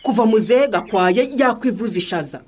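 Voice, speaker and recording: A woman's voice: mid-range voice, fairly quick, a little mellow, lightly acted. An actress playing a sensible wife who teases and persuades her husband. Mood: persuasive. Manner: teasing, persuasive.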